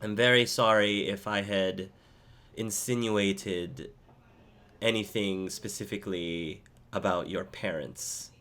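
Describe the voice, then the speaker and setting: A man voicing a female captain who speaks in blunt, short questions, in a low voice. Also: fairly even intonation, slow, a bit bright. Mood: apologetic. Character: stern female captain, the player's sister.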